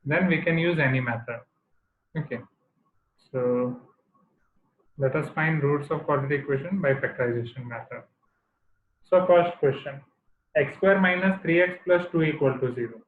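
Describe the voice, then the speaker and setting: An Indian man teaches a live online maths class, switching between English and Hindi and asking students to respond in the chat. His voice sits a little high. The sound is echoey and thin, like a phone line. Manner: code-switching, checks in with students often.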